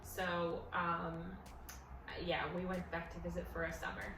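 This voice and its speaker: A woman's voice: fairly low pitch, completely flat delivery, measured pace, noisy background. A woman in her early twenties from Egypt and the United States, a native Arabic speaker, chatting informally as an interview guest. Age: early 20s. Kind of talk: casual conversational interview answers. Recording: echoey room, distant mic, music behind. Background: music.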